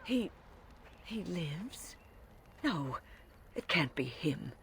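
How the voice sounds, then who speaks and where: An actress playing an anxious woman in a video game, with a breathy, hushed voice and tentative, halting delivery. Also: low-pitched, big pitch swings, slight background noise, full character voice. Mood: anxious, hopeful.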